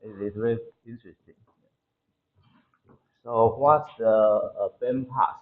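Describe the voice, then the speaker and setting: A man with a Taiwanese accent lecturing to a class, explaining technical material haltingly and often repeating his phrases. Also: mid-range voice, fairly even intonation, phone-quality sound. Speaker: university lecturer. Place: indoor classroom.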